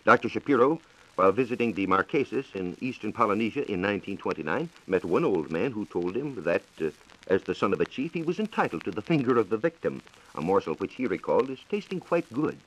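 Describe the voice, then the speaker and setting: Man, American accent, low pitch, animated intonation, formal science lecturer, scripted 1940s radio broadcast. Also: poor audio. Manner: measured, formal lecturing delivery. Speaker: science reporter.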